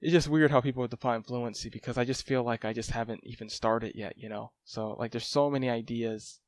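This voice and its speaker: Man, casual vlog chat, rambling, lots of filler words, mid-pitched voice. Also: steady tone, brisk. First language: English. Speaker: language-learning vlogger. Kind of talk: casual talking-head update.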